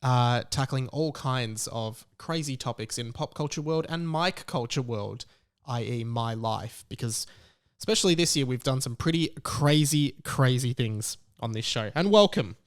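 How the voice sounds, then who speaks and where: A man's voice: mid-range voice, expressive, unhurried, clear, resonant tone. A young Australian man hosting a podcast, talking in a casual, chatty and emphatic way on his own. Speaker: podcast host. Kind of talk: casual solo podcast monologue.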